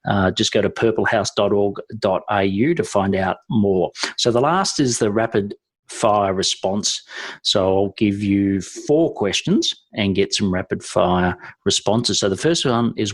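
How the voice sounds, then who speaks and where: A man's voice: lowish voice, lively intonation, normal speed, slightly rough. A man hosting a podcast, asking questions in an upbeat, encouraging way. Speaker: podcast host. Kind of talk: interview questions.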